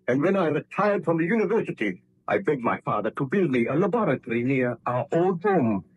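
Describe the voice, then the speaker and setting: An actor playing a tormented, guilt-ridden aristocratic scientist in an old radio horror drama, with grave, formal, theatrical delivery. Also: male voice, fairly high pitch, darkish tone, slightly rough, muffled recording. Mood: earnest, brooding.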